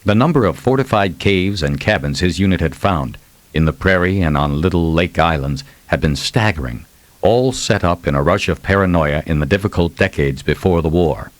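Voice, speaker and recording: A man's voice: deep voice, lively intonation, normal speed, no room sound. A man narrating fiction as a scripted, dramatic audiobook reading.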